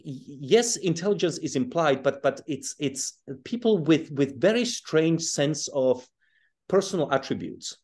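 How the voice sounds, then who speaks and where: A man's voice: mid-range voice, a little mellow. Thoughtful man explaining over a video call. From Czechoslovakia and South Africa. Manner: thoughtful, restarts phrases, chuckles while explaining. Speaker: guest author.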